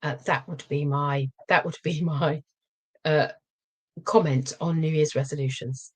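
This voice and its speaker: Low-pitched woman, slightly croaky, thoughtful podcast co-host. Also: steady tone, measured pace. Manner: thoughtful, with many ums and restarts. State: slightly croaky after a cold. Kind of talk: casual chat.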